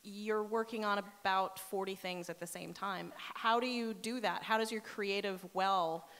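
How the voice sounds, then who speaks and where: A woman's voice: medium pitch, fairly even intonation, normal speed, fairly bright tone. A woman hosting a radio interview, asking questions in a conversational style. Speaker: interviewer, radio show host. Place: large hall at a live radio show. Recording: reverberant hall sound.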